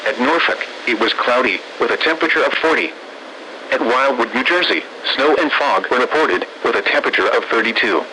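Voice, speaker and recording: A synthesized male voice reading scripted weather bulletins in a measured, impersonal, formulaic way over weather radio. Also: medium pitch, animated, pushed and bright. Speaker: automated weather radio announcer. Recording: noisy weather radio broadcast.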